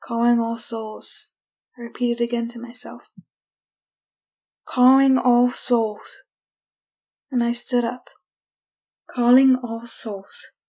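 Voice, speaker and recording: An actress playing a desperate, haunted woman prisoner condemned though innocent, telling her tale in theatrical, deliberate retro radio drama style. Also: on the high side, level tone, darkish tone, highs cut off. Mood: incantatory.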